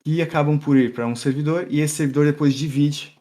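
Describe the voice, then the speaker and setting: A middle-aged man from São Paulo with an accent mixing Brazilian and European Portuguese, giving a relaxed live-coding talk full of fillers and restarts. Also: fairly high pitch, brisk. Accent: mixed Brazilian and European Portuguese. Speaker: tech meetup speaker.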